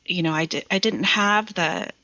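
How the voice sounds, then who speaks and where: A woman's voice: on the low side, moderate pace, sharp tone, no echo, lo-fi sound. A woman from Virginia speaking as a podcast guest in thoughtful, casual conversation. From Virginia, United States. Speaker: podcast guest, poet and scholar.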